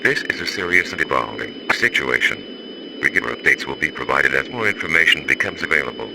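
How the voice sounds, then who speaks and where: Deep-voiced male emergency announcer, noisy broadcast. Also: very animated, fairly quick, vocal fry. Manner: stern, official, impersonal. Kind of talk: formal scripted public health warning.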